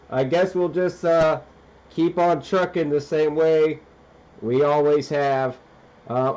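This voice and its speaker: A dull, band-limited radio transmission carries an American man with a somewhat high voice. He speaks as an amateur operator in casual conversation, informal and a little hesitant. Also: darkish tone.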